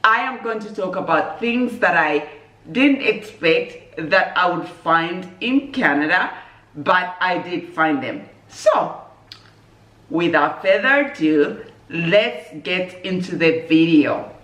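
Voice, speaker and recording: A woman from Zambia, chatting casually on her vlog in a relaxed, measured way and laughing easily. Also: on the low side. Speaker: vlogger. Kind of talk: casual chat. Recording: echoey room, mic at a distance.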